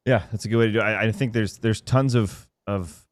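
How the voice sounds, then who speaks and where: Guy with a really low voice on a sports podcast, casual chat, dry sound no echo. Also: fairly even intonation, fairly quick.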